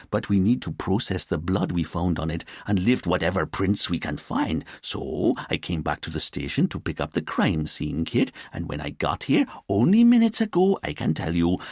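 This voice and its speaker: Man doing an easygoing, defensive officer, swooping pitch. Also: darkish tone, slightly rough, dry recording, clean studio sound, full character voice. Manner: casual, defensive.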